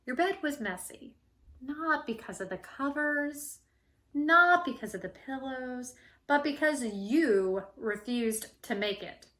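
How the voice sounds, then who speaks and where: A woman's voice: sits high, expressive, slow, pushed and bright, heavily acted. A woman voicing an indignant, preachy talking pen from a storybook, scolding a kid with pompous, theatrical emphasis.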